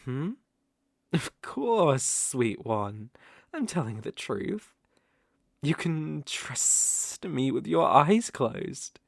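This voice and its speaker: A performer voicing a smooth-talking, predatory naga with a teasing, coaxing drawl and soft chuckles, in an ASMR roleplay. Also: mid-range voice, sing-song, extremely slow, no room sound, clean studio sound, heavily acted. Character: smooth-talking, sly, predatory naga fond of cute prey.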